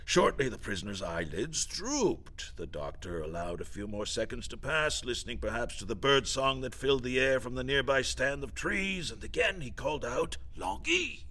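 An actor playing an evasive, genteel deputy minister in a satirical audio drama, wordy, formal and hesitant, with a drily macabre, blandly menacing air. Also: male voice, medium pitch, sing-song, normal speed, hardly any pauses, bright, forceful tone. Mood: macabre relish.

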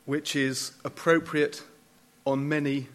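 A man giving a prepared memorial speech, formal and deliberate, with emphatic pauses and dry wit. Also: on the high side, flat delivery. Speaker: closing speaker at a memorial event.

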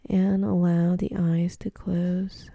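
A woman guides a meditation slowly and gently, leaving long pauses. Her voice is soft and breathy, often almost whispered, with some creak at the edges. The sound is close and dry, soothing throughout. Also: on the low side, flat delivery, dry recording.

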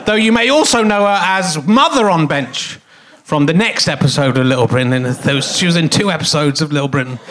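A man's voice: a little high, expressive, brisk, few pauses, a bit bright. A middle-aged man with a snotty cold hosting a comic live podcast on a theatre stage, rambling and self-mocking with lots of fillers. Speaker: podcast host.

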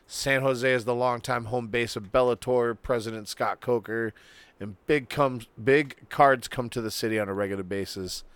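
Casual male podcast co-host, smooth and resonant, dry close mic. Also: medium pitch, fairly even intonation, normal speed, fairly bright tone, no room sound. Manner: casual, swears, reads news items aloud. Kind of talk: casual chat reading news.

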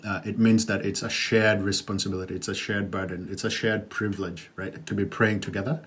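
A man in his thirties with a fairly low voice speaks as a podcast guest. He thinks aloud and hesitates, and he often adds fillers and "right?" tags. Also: level tone, a little fast, a bit bright. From Uganda. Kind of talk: conversational podcast interview.

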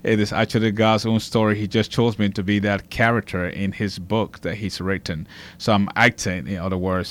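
A man's voice: lowish voice, clean tone. A man from Liberia in his forties, a guest in a studio, earnestly telling his life story with a strong faith focus.

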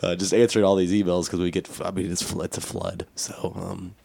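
The raspy, jokey American guy behind the mic at a volunteer radio station, gravelly with a cold, close and dry in the booth. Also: sits low, little pitch movement, fairly quick, a little mellow, dry recording. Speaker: podcast and radio show co-host. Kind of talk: casual chat.

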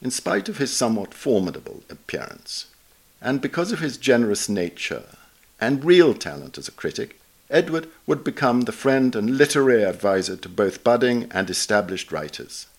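A man narrating a written essay aloud in a measured, formal way with careful enunciation. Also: medium pitch, very animated. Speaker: narrator reading an article aloud. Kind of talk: scripted narration of a literary essay.

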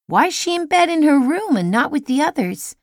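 An inquisitive, stubborn young boy from an audiobook, voiced by a woman. He sounds high and forceful, pressing his point in casual, everyday words. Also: very animated, brisk, dry recording, clean studio sound. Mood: curious, insistent. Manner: insistent, colloquial.

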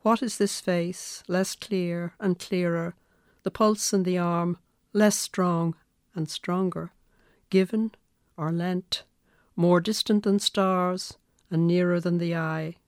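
A woman's voice: fairly low pitch, steady tone, slow, darkish tone, no room sound, clean studio sound. A woman with an Irish accent speaking as a poet and guest reader, in a literary, reflective style. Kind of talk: measured poetry reading and reflective interview talk.

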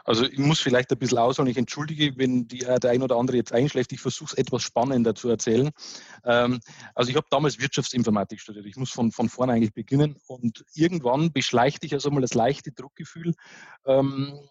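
A man's voice: mid-range voice, fairly even intonation, quick pace, a little mellow, breathy edge, no room sound, highs cut off. A man with a Bavarian accent, a start-up founder, telling his story as a guest in a casual interview, with many fillers and restarts.

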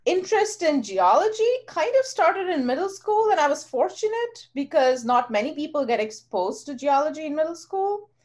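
A woman's voice: sits high, very animated, normal speed, hardly any pauses, darkish tone. A woman from India, a geoscience professor talking earnestly as a podcast guest. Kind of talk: casual interview talk.

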